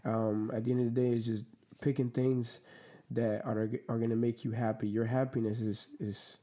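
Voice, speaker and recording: A man's voice: mid-range voice, little pitch movement, moderate pace, dark tone. A man from the Dominican Republic, a podcast guest talking in a laid-back, slangy way with many fillers. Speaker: podcast guest, clothing brand owner. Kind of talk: casual interview chat.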